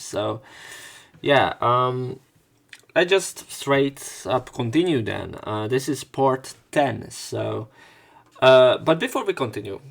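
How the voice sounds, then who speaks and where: A man's voice: lowish voice, gravelly, fairly bright tone. A man doing a casual let's play of a visual novel, reading the story aloud with rambling, conversational asides.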